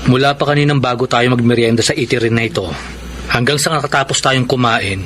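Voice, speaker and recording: A man's voice: mid-range voice, fast talker, lightly acted. Filipino guy acting as the worried jealous best friend, medium voice, radio drama, faint noise. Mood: reproachful concern. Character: concerned, slightly jealous male best friend.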